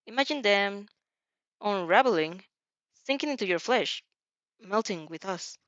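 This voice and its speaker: Woman as female story mentor, patient, coaxing, deliberate delivery, dry muffled lo-fi sound. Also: medium pitch, animated, a bit bright, dry recording. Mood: patient, instructing. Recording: dull, muffled lo-fi recording.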